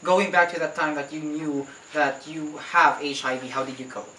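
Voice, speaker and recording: A man's voice: fairly high pitch, fairly even intonation, normal speed, bright, forceful tone. A man with a Filipino accent hosting a talk-show interview, phrasing things carefully and with some hesitation. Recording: echoey room, distant mic, faint background noise.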